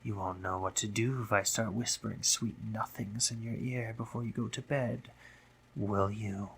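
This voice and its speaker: A man playing a teasing, dominant, courtly spider monster in an intimate audio roleplay, theatrical and breathy, often dropping into whispers. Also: fairly low pitch, fairly even intonation, normal speed, fairly bright tone, slight background noise. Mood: seductive teasing. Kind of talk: intimate scripted audio roleplay, addressing the listener.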